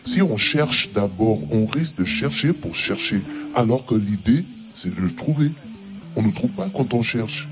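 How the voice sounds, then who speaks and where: A man's voice: on the low side, animated, measured pace, noisy background. Stubborn hair-splitting man , as an actor plays him. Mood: insistent. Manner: argumentative, plays with words. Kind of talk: stage drama.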